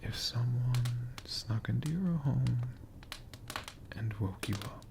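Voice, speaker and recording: A man in a friendly dragon persona, telling stories in a slow, hushed ASMR whisper with playful asides to the listener. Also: medium pitch, creaky, noisy background.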